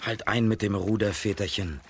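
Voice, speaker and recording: An actor playing a fearless, strong young folk-tale hero in a children's radio play, hearty and resolute. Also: male voice, fairly low pitch, fairly even intonation, moderate pace, background noise, natural voice. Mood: resolute, friendly.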